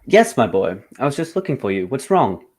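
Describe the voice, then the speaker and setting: Man playing gentleman detective, radio drama, formal, measured, courteous, composed, medium-pitched voice. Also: sing-song, husky. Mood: reassuring.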